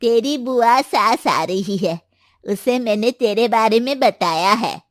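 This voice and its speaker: A woman's voice: a little high, lively intonation, takes it slowly, no room sound, studio quality. A woman voicing a demanding middle-aged Indian mother-in-law in an imperious, grumbling way for a story. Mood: bossy.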